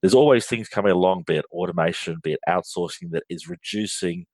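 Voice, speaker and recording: Low-voiced Australian man, dry sound. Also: fast, darkish tone, dry recording. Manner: frequent restarts and ums, earnest explaining. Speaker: guest, finance outsourcing firm leader. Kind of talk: business interview.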